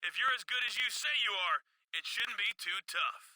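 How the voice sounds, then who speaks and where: A woman's voice: mid-range voice, lively intonation, normal speed, over music, natural voice, rough recording. A woman playing a coaxing, goading backstage wrestling figure in a video game cutscene.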